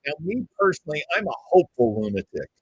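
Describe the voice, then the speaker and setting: A man's voice: on the high side, big pitch swings, quick pace, dull audio, over loud music. A man hosting a comedy show, tossing dry, joking asides in live commentary.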